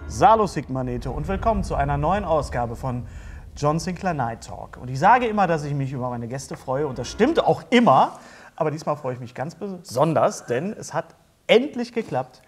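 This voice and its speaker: A middle-aged man with a fairly high voice hosts a talk show. He speaks casually, feeling his way through sentences and rewording them as he goes. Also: sing-song, moderate pace, a little hiss or hum. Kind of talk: casual interview hosting. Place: indoor studio talk-show set, seated at a table.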